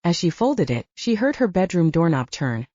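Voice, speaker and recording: Expressive mellow woman narrating fiction. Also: fairly low pitch, normal speed, no room sound, muffled recording. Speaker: audiobook narrator. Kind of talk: scripted narration of a romantic thriller novel.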